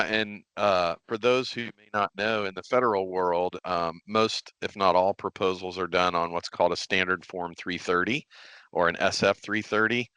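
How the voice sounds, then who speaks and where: A man's voice: low-pitched, pushed and bright, smooth, dry recording. A man hosting a podcast in a casual interview style. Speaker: podcast host.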